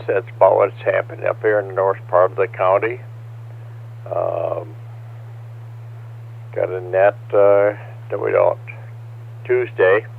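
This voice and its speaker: An older man chatting over a ham radio net, hoarse from a cold, talking haltingly with many fillers. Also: deep voice. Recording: tinny two-way radio transmission with faint hum.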